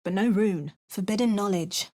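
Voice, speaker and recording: A young woman voicing a game character with wry, measured first-person narration. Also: mid-range voice, a little mellow.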